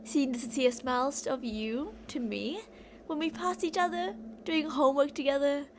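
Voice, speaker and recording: A woman's voice: high-pitched, slight background noise. A woman playing an obsessive, delusional young captor in an intimate, rambling ASMR roleplay monologue addressed to a captive. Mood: wistful.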